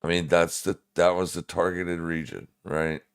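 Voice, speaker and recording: A man's voice: low-pitched, little pitch movement, normal speed, clean tone. A man with a smoker's voice giving casual, laid-back live market commentary to viewers as a streaming trading host.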